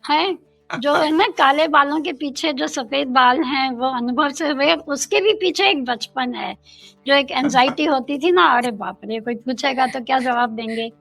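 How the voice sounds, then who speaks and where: An older woman from India, a guest in an interview, speaking in a slow, thoughtful way and mixing in English phrases. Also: sits high, creaky, a bit bright, dry recording, faint music behind.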